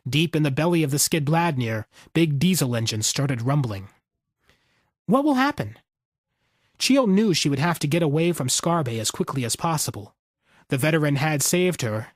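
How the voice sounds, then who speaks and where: A man's voice: a little high, no echo, studio quality. A man reading scripted fiction as an audiobook narrator.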